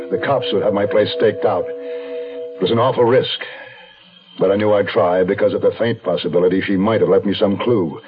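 A man performs a hunted, weary American narrator of a radio mystery, low in pitch and brooding. He tells his tale with deliberate dramatic weight. The sound is narrow and lo-fi, with faint music behind. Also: natural voice. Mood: tense, hushed. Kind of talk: 1970s radio mystery drama, first-person noir narration.

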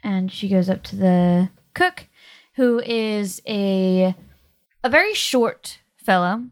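Woman game master with medium-pitched voice. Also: takes it slowly. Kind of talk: casual tabletop game narration.

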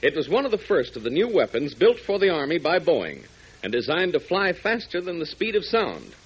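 A man's voice: on the high side, wildly swooping pitch, moderate pace, husky. A male announcer narrating a 1940s radio adventure serial, speaking dramatically to young listeners. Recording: dull 1940s radio transcription.

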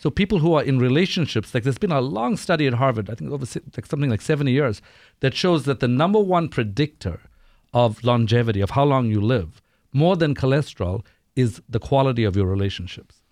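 Male doctor as radio guest, medium pitch, dry studio sound, measured, informative, conversational. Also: animated, darkish tone, no room sound. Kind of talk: conversational expert explanation.

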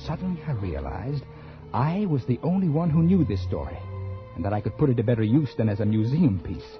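An ambitious American writer, played by a male actor narrates in an old radio thriller. His medium-pitched voice is animated, intense and theatrical. The vintage recording is muffled, with music behind. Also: fairly quick, lightly acted. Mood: feverishly ambitious. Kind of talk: 1940s radio acting, dramatic first-person narration.

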